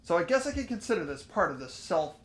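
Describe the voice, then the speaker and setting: A man's voice: high voice, sing-song, fast. An amateur actor voicing an earnest teenage boy protagonist in a visual novel game, thinking aloud as he investigates. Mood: pondering. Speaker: host of the playthrough. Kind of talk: fan-dubbed visual novel game narration.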